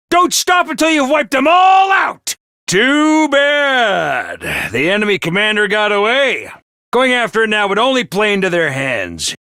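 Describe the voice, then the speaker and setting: A theatrical, bloodthirsty commander barks orders with game-show flair. His voice sits high and swoops wildly between grand declarations and sly asides. It sounds bright and close, recorded in a dry studio. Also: no room sound, exaggerated voice. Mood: rousing. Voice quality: booming, theatrical, drill-sergeant bravado. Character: flamboyant male military commander mascot, theatrical, bloodthirsty. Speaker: commander announcer. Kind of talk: video game voice lines.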